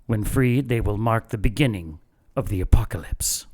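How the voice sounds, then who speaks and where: An actor playing a fanatical cult leader in an audio drama, lecturing in a measured, contemptuous and gloating way. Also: male voice, on the low side, darkish tone, lightly acted. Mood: cold certainty. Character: fanatical cult leader brother plotting apocalypse, calm and contemptuous. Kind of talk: audio drama villain monologue.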